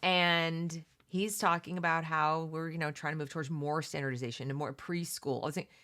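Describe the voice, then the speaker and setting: A woman's voice: sits low, fairly even intonation, moderate pace, a bit bright. A woman hosting a read-along, mixing reading aloud with casual, opinionated commentary in an emphatic, conversational way that addresses listeners directly.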